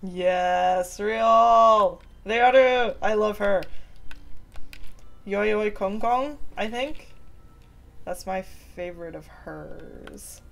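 A woman streaming a game from home, chatting with viewers in a relaxed, giggly way. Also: medium pitch, drawn-out words, vocal fry. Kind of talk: casual chat while gaming. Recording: faint keyboard typing behind.

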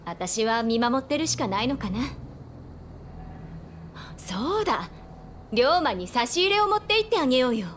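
A woman's voice: fairly high pitch, sing-song, normal speed, long pauses, a little hiss or hum. A woman playing a spirited, caring young woman of old Japan in a video game, with a familiar, plain-spoken feminine manner. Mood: friendly, concerned. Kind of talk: video game cutscene acting.

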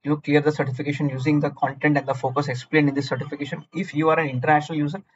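A man's voice: fairly high pitch, little pitch movement, racing, muffled recording, a little hiss or hum. A man with an Indian accent tutoring through exam questions, blunt and repetitive, scolding the listener at times. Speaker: cloud certification tutor. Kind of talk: screen-recorded exam walkthrough.

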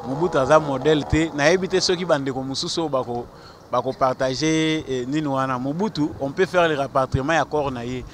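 A man's voice: mid-range voice, a little fast. A man in his thirties with a Congolese accent, mixing French and Lingala, answers a street interview in an earnest, argumentative way, stammering over repeated words. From Congo (DRC). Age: 30s. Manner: gestures with his hand while talking. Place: outdoors on a busy city square. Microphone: handheld mic held out by the interviewer. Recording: faint street noise outdoors. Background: faint traffic.

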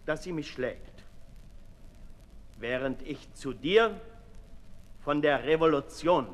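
Brooding Marquis de Sade in a reverberant hall. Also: male voice, a little high, lively intonation. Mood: hushed, brooding. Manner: theatrical, deliberate, declamatory monologue with swings from hushed to loud. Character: Marquis de Sade, brooding disillusioned aristocrat, intense and self-lacerating. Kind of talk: 1960s German radio play acting. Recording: 1960s radio recording in reverberant hall.

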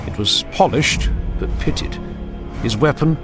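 A man giving scripted, dramatic narration of a fantasy audiobook with grave, deliberate phrasing. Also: medium pitch, animated, music in the background.

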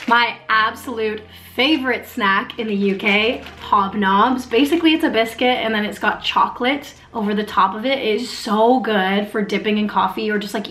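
A woman's voice: on the high side, fairly bright tone. A young woman from North America chatting casually in a vlog, upbeat and talkative, often using "like" as a filler. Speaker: vlogger.

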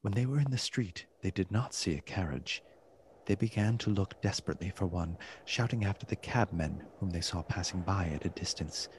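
A man's voice: sits low, fairly quick, slight background noise. A man narrating a literary radio play in a formal, theatrical storytelling manner.

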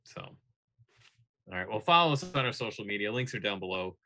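A man's voice: fairly low pitch, expressive, brisk, pushed and bright. A man in his thirties chatting casually as co-host of a film podcast. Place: indoors, small room.

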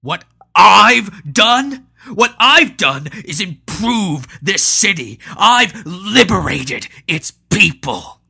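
A storyteller voicing an ancient, arrogant old male tyrant who roars and cackles, in a breathy voice. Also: medium pitch, sing-song, drawn-out words, few pauses, pushed and bright, voice pushed up, full character voice. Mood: indignant. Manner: roaring, cackling, grandiose.

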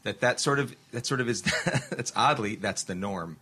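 A man's voice: medium pitch, normal speed, pushed and bright, breathy edge. A middle-aged man hosting an interview podcast, talking casually, laughing often and restarting his sentences. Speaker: podcast host and producer.

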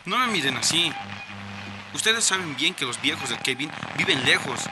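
A man's voice: on the high side, sing-song, normal speed, over music, rough recording. A man playing an earnest, frightened teenage boy with a Bolivian accent, pleading with a gang. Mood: nervous, insistent.

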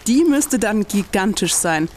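A woman's voice: mid-range voice, animated, measured pace, a little mellow, a little hiss or hum, close to an everyday voice. A woman playing an eager, teasing boyish student in an adventure audio play. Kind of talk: German audio play acting.

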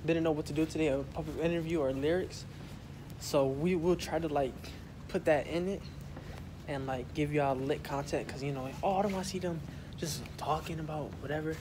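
A man's voice: a little high, background noise. A young man in a school hallway, vlogging casually into a handheld phone, rambling in loose slang with lots of fillers. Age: young adult. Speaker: vlogger, high school student. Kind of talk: casual vlog chat. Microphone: phone camera held close.

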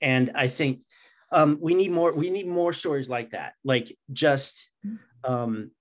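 A middle-aged man speaking as an interview guest, earnest and halting, in conversation over a video call. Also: a little high. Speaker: interview guest, comic writer.

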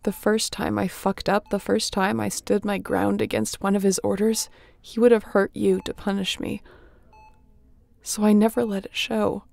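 A heavily creaky female space captain, acted by a woman in a sci-fi audio roleplay. She speaks softly and openly at a middling pitch, battle-worn but tender, with a wry streak and a breathy edge. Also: little pitch movement, moderate pace, no room sound. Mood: tender, confessional. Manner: soft, sighing, emotionally open. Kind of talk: intimate audio roleplay acting.

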